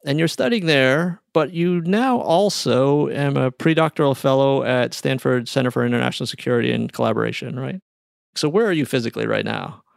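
A man hosting an interview, asking lively questions with frequent restarts. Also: medium pitch, a little fast, creaky, dry recording, studio quality. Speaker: podcast host.

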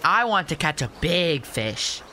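A bright, sharp-voiced young boy played by an actor in a radio play, eager and simple in how he talks. The pitch swings in a lively way, and there is faint outdoor noise behind the words. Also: measured pace. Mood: curious. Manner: simple, literal, childlike talk.

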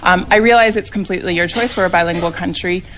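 A woman's voice: fairly low pitch, brisk, a bit bright, slight background noise, rough recording. A woman member of parliament questioning a minister in a committee, polite but pointed with hesitations.

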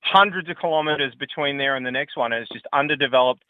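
A man's voice: mid-range voice, fast, no room sound. A man from Victoria with an Australian accent phoning a radio show, casually and earnestly recalling things. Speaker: radio phone-in caller. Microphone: telephone. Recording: tinny phone line.

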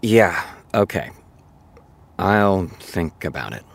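An actor playing a weary male TV show creator, with a deadpan, sardonic, reluctant manner in a naturalistic audio drama. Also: low-pitched, takes it slowly, gravelly, exaggerated voice. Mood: dry, weary.

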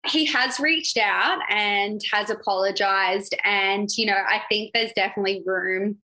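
A young woman talks as a guest in a casual interview. Her voice is bright and forward, and her speech is loose and full of filler words. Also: medium pitch, measured pace, poor audio. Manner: chatty, lots of "like" fillers. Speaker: guest, yacht crew member.

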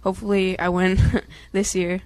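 Flat-voiced eighteen-year-old student. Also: male voice, high-pitched, moderate pace, clean tone. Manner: casual, hesitant with ums. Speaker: graduating student guest.

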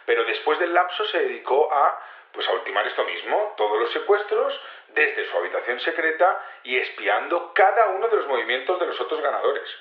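An actor playing a patient but hesitant security investigator being interviewed in a naturalistic audio drama, explaining things colloquially with repetitions and tag questions. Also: male voice, a little high, big pitch swings, fairly quick, reverberant, muffled recording. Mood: explanatory, guarded. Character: male security investigator, patient, hesitant, self-assured in his theory.

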